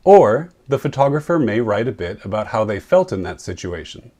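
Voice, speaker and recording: Man calmly explaining a lesson. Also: on the low side. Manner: clear, measured explaining. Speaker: photographer and presenter. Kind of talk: scripted lesson narration.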